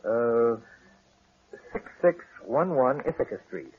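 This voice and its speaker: A man's voice: medium pitch, animated, takes it slowly, phone-quality sound. An actor playing an officious, guarded male cemetery manager, hesitant and formal, in 1940s radio style. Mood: uneasy.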